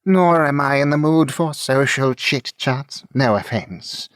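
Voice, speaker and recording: Man as a haughty, raised-pitch female mage. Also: slow, no room sound, clean studio sound, close to an everyday voice. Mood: irritated, curt. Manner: imperious, curt, declamatory. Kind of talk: fantasy audiobook character acting.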